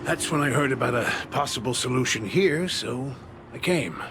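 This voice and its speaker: An actor playing a courteous, wistful old war survivor in a dramatic video game, speaking in a reflective, measured way. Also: male voice, mid-range voice, expressive, background noise, lightly acted. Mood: sorrowful. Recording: loud music under the voice.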